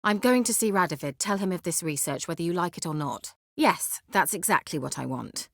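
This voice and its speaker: A haughty young sorceress from a fantasy game. Her speech is teasing and sardonic, with a very animated, expressive pitch. The sound is dry, with no room around it. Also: a little fast, gravelly, dry recording, full character voice. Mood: angry, scornful. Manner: haughty, teasing, biting sarcasm. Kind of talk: video game character dialogue.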